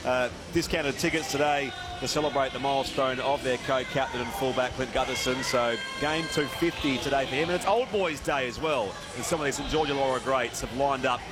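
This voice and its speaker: A man's voice: medium pitch, a little fast, hardly any pauses. An Australian man reporting from a rugby league ground, setting the scene before kickoff. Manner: polished, informative scene-setting. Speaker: sideline sports reporter. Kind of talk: sports broadcast report.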